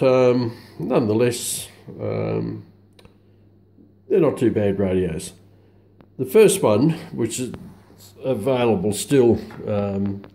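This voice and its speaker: Australian male hobby reviewer, unscripted and casual, mellow tone, very unhurried, halting phrasing. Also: fairly low pitch. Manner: halting, hesitant delivery with very frequent pauses.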